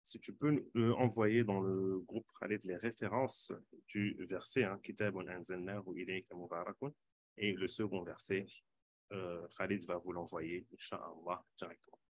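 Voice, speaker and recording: Low-pitched male host running an online panel, loose and self-correcting. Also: fairly even intonation, normal speed, no echo.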